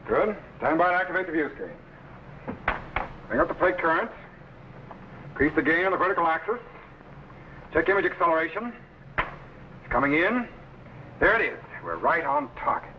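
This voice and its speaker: Lively authoritative time-machine captain, played by a man. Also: on the high side, moderate pace, pauses often, natural voice. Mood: commanding, focused. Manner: crisp commands, instructive. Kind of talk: 1950s radio sci-fi acting. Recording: noisy muffled old radio recording.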